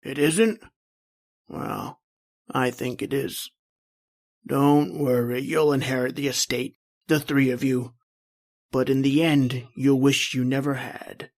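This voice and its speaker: A man's voice: medium pitch, flat delivery, slow pace, long pauses. A man playing a bitter, imperious, dying old uncle in an old-time radio horror play, full of menace. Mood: bitter, accusing.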